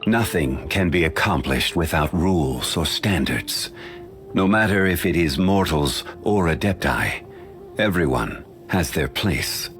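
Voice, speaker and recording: Game role: an ageless, dignified scholar-gentleman, very deep and unhurried, formal and polite, faint music behind. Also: lively intonation. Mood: calm, formal. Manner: measured, formal and courteous. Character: ancient, dignified man, calm and learned consultant. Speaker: voice actor. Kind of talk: video game voice lines.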